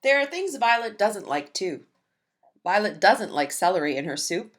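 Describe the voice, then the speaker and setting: A woman reading a children's picture book aloud in a gentle, expressive storytelling manner. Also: mid-range voice, normal speed.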